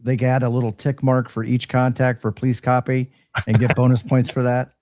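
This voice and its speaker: A man's voice: mid-range voice, little pitch movement, a little fast, darkish tone, no echo, studio quality. A man in a casual panel chat with a joking, teasing way of talking.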